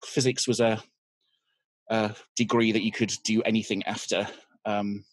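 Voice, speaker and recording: A man's voice: lowish voice, level tone, normal speed, no echo. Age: 40s. Male guest, dry sound. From Yorkshire, England. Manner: hesitant, dry, with many ums. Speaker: guest, physics teacher. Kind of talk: casual interview chat.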